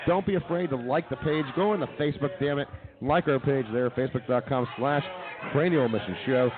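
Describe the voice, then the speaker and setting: A man's voice: mid-range voice, a little fast. Brash male radio host over crowd noise. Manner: brash, informal, mildly profane. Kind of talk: live comedy talk radio banter. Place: live broadcast from a busy brewery. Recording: phone-like sound, loud bar crowd behind.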